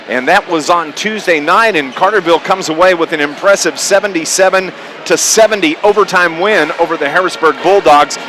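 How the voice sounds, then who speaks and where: High, bright-voiced man calling sports radio. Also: a little hiss or hum. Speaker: play-by-play radio host. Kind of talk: live sports radio pregame chat. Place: corner perch in a school gymnasium. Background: gym ambience, bouncing basketballs.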